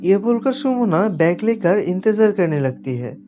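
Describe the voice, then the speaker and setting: A man reading scripted narration for an animated moral story. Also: high voice, normal speed. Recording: muffled audio with background music.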